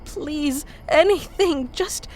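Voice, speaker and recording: A woman's voice: high voice, takes it slowly. A woman voicing an obsessive, clingy and powerful female kitsune familiar in an intimate, theatrical ASMR roleplay. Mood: desperate pleading. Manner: intimate, theatrical, swinging between hushed and pleading.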